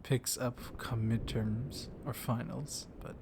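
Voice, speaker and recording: A man playing a seductive, softly dominant vampire librarian in an ASMR roleplay, speaking in a hushed, flirtatious way with lingering pauses. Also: medium pitch, steady tone, creaky, noisy background. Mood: smitten, beguiling. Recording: loud rainstorm ambience with thunder. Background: rain and thunder ambience.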